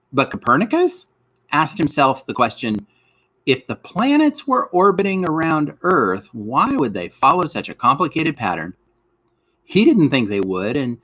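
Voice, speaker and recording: A man reads a children's educational text aloud in the role of a teacher. His intonation is lively and varied, rising and falling freely. He explains as he goes, leaning on important words to guide young listeners. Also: mid-range voice, breathy edge. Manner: explanatory, poses rhetorical questions, stresses key words. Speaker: teacher reading a lesson aloud. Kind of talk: educational read-aloud of scripted narration for children.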